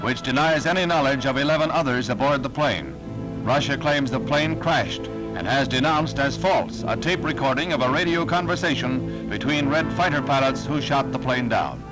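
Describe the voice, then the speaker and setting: A man's voice: mid-range voice, normal speed, over music, low-quality recording. An American man narrating a 1950s newsreel in a formal, dramatic, authoritative manner. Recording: vintage 1950s newsreel soundtrack.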